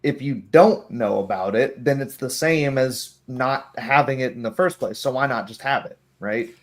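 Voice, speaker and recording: A man's voice: medium pitch, normal speed. A man chatting casually about tabletop game rules in an explanatory way, sometimes voicing short hypothetical remarks.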